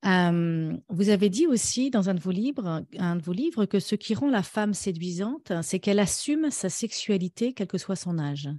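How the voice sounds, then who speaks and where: A woman's voice: mid-range voice, lively intonation, moderate pace, hardly any pauses, mellow, no room sound, clean studio sound. A woman interviewing a guest on a podcast in an attentive, conversational and approving manner.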